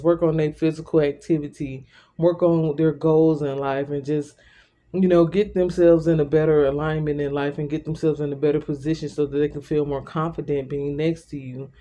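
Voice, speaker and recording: Male tarot reader, slightly high pitch, very mellow relaxed tone, conversational, repetitive, direct address. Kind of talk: informal spoken tarot card reading.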